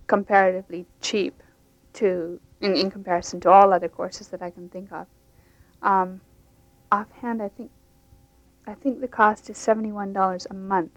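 A woman's voice: mid-range voice, no echo. A woman who teaches English to immigrants, explaining her programs in an unscripted, plain-spoken way. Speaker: English language instructor. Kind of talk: interview answers, unscripted. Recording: dull lo-fi radio recording.

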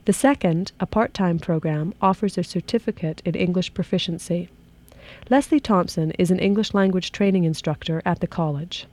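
Steady, mellow-voiced woman reporter. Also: on the low side, moderate pace. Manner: steady, informative read. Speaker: program host and reporter. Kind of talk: scripted radio documentary narration.

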